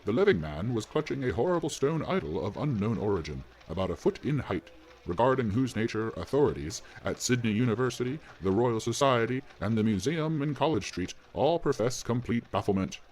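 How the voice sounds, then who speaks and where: A man reading out an old newspaper report in a crisp, report-like manner. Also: mid-range voice, very animated, brisk, a little mellow, rough recording. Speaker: reader of a newspaper article. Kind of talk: scripted reading of a news report.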